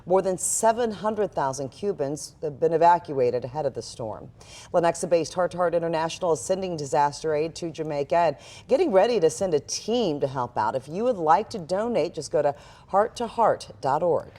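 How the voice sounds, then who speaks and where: Female American morning news anchor, scripted delivery, voice on the lower side. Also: lively intonation, moderate pace, mellow.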